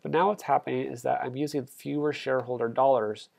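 A man's voice: medium pitch, steady tone, quick pace, creaky, a little mellow. A man teaching a business simulation, talking step by step through a screen recording and correcting himself as he goes. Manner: explains step by step, self-corrects. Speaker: instructor. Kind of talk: screencast tutorial walkthrough.

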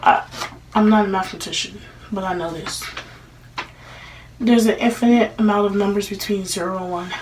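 Grieving teen girl in love woman performer, dramatic monologue, halting emotional delivery, mid pitch, faint room noise. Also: steady tone, a little mellow, distant mic, lightly acted, poor audio. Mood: apologetic, reflective. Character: grieving, lovestruck teenage girl giving a eulogy.

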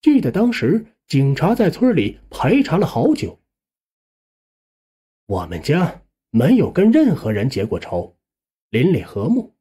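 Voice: medium pitch, wildly swooping pitch, unhurried, long pauses, mellow, husky. A narrator reading a suspense ghost story aloud as scripted, dramatic audiobook narration.

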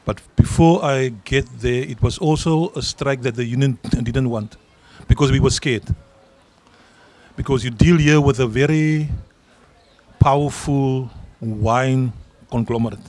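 Middle-aged man, Afrikaans accent, union leader, emphatic, medium pitch. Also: a little slow, darkish tone, dry recording. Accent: South African Afrikaans. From South Africa. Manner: earnest, emphatic, repeats words when stressing a point. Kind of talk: interview answers at a public event. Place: outdoor garden event. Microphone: handheld mic.

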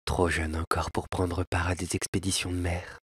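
Man reading as a sad exiled elf, soft lyrical pleading, low voice, very husky. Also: flat delivery, moderate pace, dry recording. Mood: wistful. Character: lovelorn exiled male elf, gentle and sorrowful. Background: faint piano.

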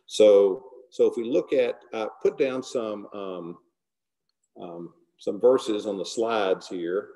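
A middle-aged man giving an earnest devotional talk to a men's group and closing in prayer, often trailing off softly at the ends of lines. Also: on the low side, slow. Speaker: guest speaker. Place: large hall. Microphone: camera close below the face.

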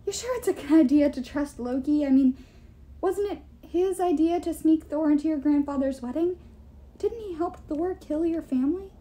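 A woman's voice: high-pitched, normal speed, mellow, pitched above the performer's own voice, lightly acted. A woman voicing a nervy, wry young male hero in an audiobook, hesitant and wheedling. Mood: probing, nervous. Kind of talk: audiobook character voice.

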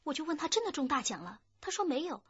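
An actress playing an anxious, guarded young office worker in love, in a radio drama. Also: female voice, high voice, big pitch swings, fast talker, dull audio. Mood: wistful, recounting.

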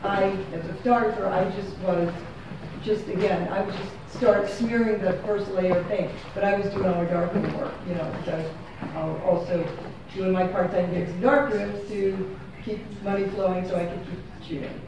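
A woman photographer chats through her work in a rambling, self-correcting way. She is picked up from across a small, very echoey gallery room with no microphone. Steady background noise sits under her voice. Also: mid-range voice, normal speed, gravelly, recorded from a distance. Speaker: photographer giving a gallery talk. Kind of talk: casual unscripted talk. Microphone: far room camera, no microphone.

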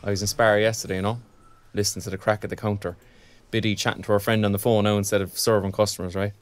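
A man's voice: fairly low pitch, little pitch movement, fast, natural voice. Friendly young Irish man, played by an actor. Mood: chatty. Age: young adult. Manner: colloquial, friendly, concerned. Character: kindly, chatty young job-seeking graduate. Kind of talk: naturalistic audio drama acting.

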